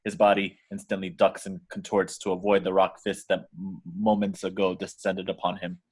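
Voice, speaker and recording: A man's voice: deep voice, completely flat delivery, normal speed, a little mellow, husky. A man reading out the action descriptions as scripted narration in a table read.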